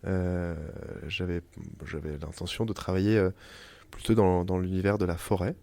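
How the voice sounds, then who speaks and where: A young French man, an interviewee telling his story in casual conversation, pausing often with fillers and restarts. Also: deep voice, flat delivery, dark tone, no room sound. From France. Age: young adult. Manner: hesitant, many fillers and restarts. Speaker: interviewee, company founder. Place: family house in the countryside.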